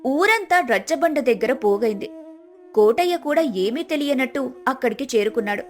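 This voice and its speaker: A woman's voice: sits high, lively intonation, moderate pace, soft background music. A woman narrator telling a scripted folk tale.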